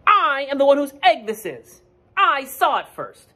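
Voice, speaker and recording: A man voicing a bossy, excitable duck from a children's picture book, scolding and exclaiming emphatically. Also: extremely high pitch, a little slow, pitched above the performer's own voice, exaggerated voice. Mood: proud, possessive. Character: excitable, bossy, possessive young male cartoon duck.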